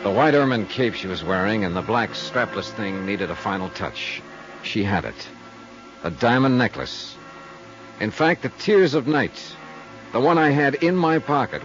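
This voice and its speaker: An actor playing a dry, world-weary private investigator with terse, wry delivery in a 1950s radio detective drama. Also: male voice, lowish voice. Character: dry, hard-boiled male private investigator narrating his case. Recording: muffled old 1950s radio recording, music behind.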